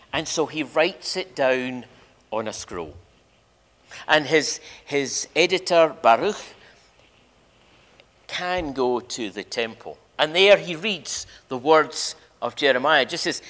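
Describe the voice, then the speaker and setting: An older man preaching as a minister, telling a story emphatically with dramatic pauses and repeated phrases in a large church. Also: medium pitch, a bit bright. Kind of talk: sermon, storytelling to a congregation. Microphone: lectern microphone. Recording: reverberant church hall.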